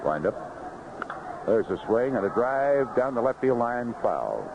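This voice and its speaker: Animated male baseball announcer, noisy old radio. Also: medium pitch, a little slow. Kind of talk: live radio baseball commentary. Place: outdoor ballpark broadcast booth. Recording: noisy dull vintage 1970s AM radio broadcast.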